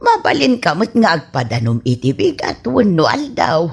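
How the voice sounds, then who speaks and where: Actress as a worried, scolding Ilocano mother, husky voice. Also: fairly low pitch, wildly swooping pitch, moderate pace, heavily acted. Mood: worried, chiding. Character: worried, scolding mother of a grown farmer son. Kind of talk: Ilocano radio comedy drama acting.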